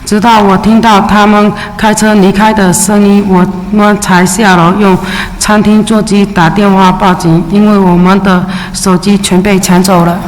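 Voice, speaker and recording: A Chinese immigrant woman, a native Mandarin speaker, reading her testimony at a public hearing in a steady, measured way with brief hesitations. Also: mid-range voice. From China. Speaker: witness testifying at a city council hearing. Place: large public hearing chamber. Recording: echoey hearing room with faint ambience.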